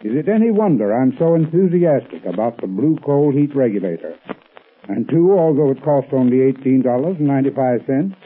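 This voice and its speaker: An American man with a husky voice, delivering a scripted 1930s radio advertisement as a company expert. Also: mid-range voice. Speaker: company heating expert giving a sponsor talk. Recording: tinny 1930s radio recording.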